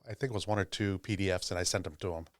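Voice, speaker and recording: A man with a slightly low voice talks casually as a podcast co-host. The sound is close and dry, without room echo. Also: steady tone, very fast. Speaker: podcast co-host and tech person.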